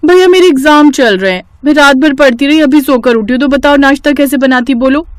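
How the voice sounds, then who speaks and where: A woman's voice: sits high, brisk, no room sound, studio quality, close to an everyday voice. A woman voicing a young student sister who argues with her brother in a cartoon family story. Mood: indignant.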